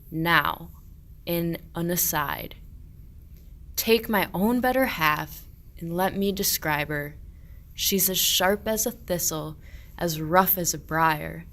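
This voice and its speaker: A woman playing a grumbling, henpecked male shepherd in a radio play, declaiming verse deliberately. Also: lowish voice, fairly bright tone. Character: grumbling henpecked old-world male shepherd, weary of cold and marriage.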